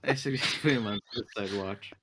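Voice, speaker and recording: A man's voice: lowish voice, brisk, background noise. A man playing a tabletop game, bantering casually in and out of character. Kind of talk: casual role-play banter.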